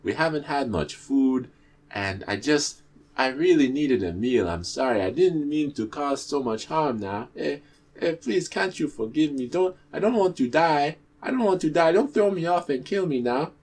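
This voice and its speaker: A man's voice: medium pitch, big pitch swings, measured pace, voice pushed up. A man voicing a sly, greedy male tortoise trickster who wheedles and pleads, stammering as he begs. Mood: pleading.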